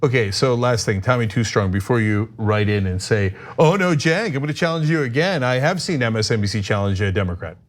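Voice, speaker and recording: A man's voice: mid-range voice, animated, brisk, few pauses. A man hosting a live news show, reading viewer comments aloud in a casual, wry manner.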